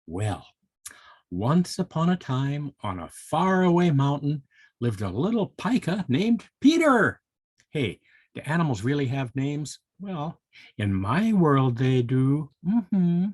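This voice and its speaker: An older man reading a story aloud to young children, playful and chatty, with expressive asides and questions to his listeners. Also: fairly high pitch, slow pace, husky, no room sound.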